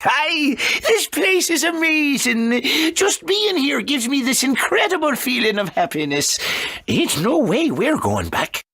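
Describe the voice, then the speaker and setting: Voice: sits high, big pitch swings, unhurried, pushed and bright, full character voice. An actor voicing a blissed-out, dreamy little forest creature in a video game. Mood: blissful.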